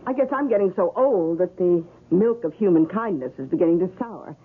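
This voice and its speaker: An actress playing a fretful, fond, superstitious older aunt in a radio drama, with a hesitant way of repeating words. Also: female voice, fairly low pitch, lively intonation, fairly quick, breathy edge. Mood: wistful, worried. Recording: muffled, lightly noisy old radio recording.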